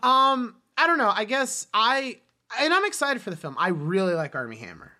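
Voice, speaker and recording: Bright-voiced man in casual movie chat. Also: high voice, big pitch swings, moderate pace. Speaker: film podcast co-host.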